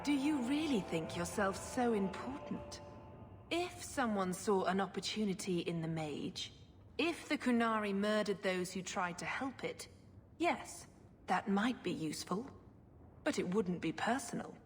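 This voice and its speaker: A woman's voice: medium pitch, expressive, a little slow, close to an everyday voice. Casting: condescending religious zealot, female actor, medium register, poised and cold, fantasy game, ringing in a large hall. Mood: haughty, then cold conviction. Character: haughty, zealous religious sister, coolly scheming. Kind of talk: video game cutscene acting. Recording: long hall reverb, music behind.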